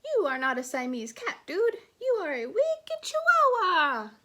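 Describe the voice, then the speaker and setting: A woman voicing an excitable little kitten boy who thinks he's a chihuahua, bouncy and sing-song, in a storybook reading. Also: sits high, moderate pace, pitched above the performer's own voice. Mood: excited boasting.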